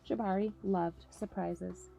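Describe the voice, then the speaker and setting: A woman's voice: mid-range voice, steady tone, takes it slowly, gravelly, warm tone. A woman presenting a story time for children, speaking simply and encouragingly, with music behind her. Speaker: camp director reading picture books to children.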